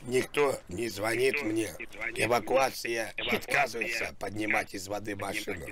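A man's voice: lowish voice, fairly even intonation, normal speed, a crowd in the background, natural voice. A man voicing a stubborn, rambling old truck driver in a sweary, pleading prank phone call. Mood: weary pleading. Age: older. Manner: rambling, sweary, muddled complaining. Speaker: prank caller.

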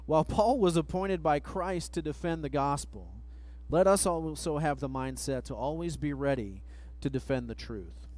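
A middle-aged man preaching a sermon at a church pulpit mic, reading scripture slowly and letting phrase ends trail off. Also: fairly high pitch, a little mellow. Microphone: pulpit microphone, far camera.

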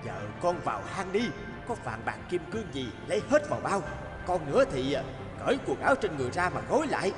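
A man voicing a greedy, scheming middle-aged merchant in an animated fairy tale, wheedling and crowing in an exaggerated way. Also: a little high, fast talker, music in the background. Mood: eager, bossy.

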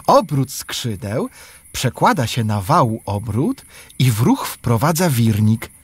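A man's voice: medium pitch, sing-song, takes it slowly, husky, no echo, exaggerated voice. An actor playing an inventive, devout village father in a rhymed children's radio play. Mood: playful, explaining.

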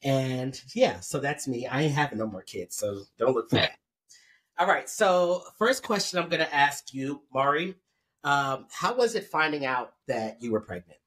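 A man in his thirties hosting a casual podcast panel, laughing easily and explaining things in a relaxed way. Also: mid-range voice, normal speed. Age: 30s. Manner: laid-back, laughing, explaining. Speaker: podcast host.

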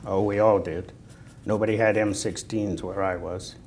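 A man in his sixties with a Boston accent, recalling his army service in a halting, conversational oral history interview. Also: on the low side, steady tone, a little mellow. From Natick, Massachusetts, United States. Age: 60s. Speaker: Vietnam War veteran being interviewed. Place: indoor room, seated on camera. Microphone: lapel. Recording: noticeable background noise.

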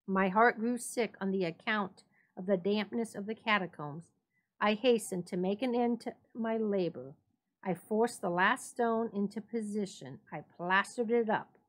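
A woman's voice: medium pitch, unhurried. A woman narrating a written story as a dramatic reading, in the role of story reader. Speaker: library staff story reader.

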